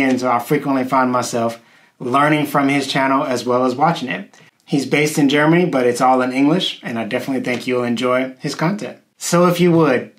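Guy in his 30s doing casual youtube host talk, medium pitch, sitting in a small room. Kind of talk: casual explanatory talk to viewers. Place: small indoor room, seated at a wooden table.

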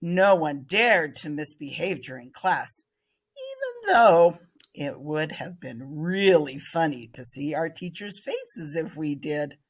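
Older american lady reading a kids story, lowish husky voice, really up and down. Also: sing-song, slow pace. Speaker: teacher reading aloud. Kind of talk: expressive children's read-aloud. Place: indoor room, at a computer. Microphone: webcam screen recording.

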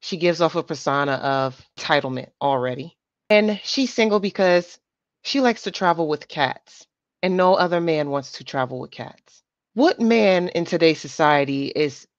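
A woman's voice: low-pitched, animated, normal speed, no room sound. A woman hosting a reaction channel, giving emphatic, lecturing commentary on dating. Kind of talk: opinionated commentary. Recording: dull lo-fi dry voice.

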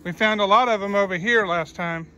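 Family vlogger dad, bright and forward voice, chatty trail narration on a handheld camera. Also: male voice, high-pitched, fairly quick, rough recording. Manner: chatty, points things out as he walks. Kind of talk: casual vlog narration while hiking. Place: outdoor hiking trail in a coastal park.

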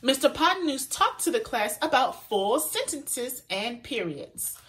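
A woman reading a children's picture book aloud in an expressive, deliberate way, as a storyteller for young listeners. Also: high voice, slightly rough. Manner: pauses to point out words to young listeners; animated, deliberate delivery for children.

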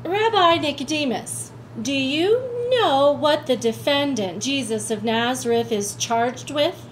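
A woman plays a brisk prosecutor grilling a witness in a radio play. Her voice is high, with a faint hiss behind it. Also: animated, rough recording. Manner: brisk, questioning. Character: brisk female courtroom prosecutor.

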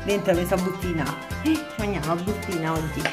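A woman's voice: fairly low pitch, a little fast, music in the background. A woman in her home kitchen, patiently guiding her young daughter through a recipe and praising each step. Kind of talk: casual home cooking video.

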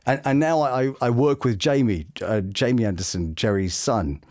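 A middle-aged man with a British accent telling anecdotes in an expressive, enthusiastic way as an interviewed voice actor. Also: mid-range voice, normal speed. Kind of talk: animated anecdotal interview chat. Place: small home studio room. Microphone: boom-mounted studio microphone.

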